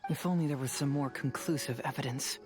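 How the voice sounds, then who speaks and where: An actor plays a young detective figure from an anime game, reasoning calmly and precisely. The pitch sits in the middle, with faint music underneath. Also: close to an everyday voice. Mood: focused. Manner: measured, reasoning, articulate. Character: calm, analytical young investigator, logical and composed. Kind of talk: anime video game voice acting.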